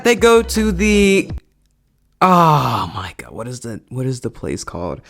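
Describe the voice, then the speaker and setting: A man's voice: mid-range voice, fairly bright tone. A young adult man giving a casual, enthusiastic and emphatic video movie review in slangy language. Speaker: YouTube reviewer.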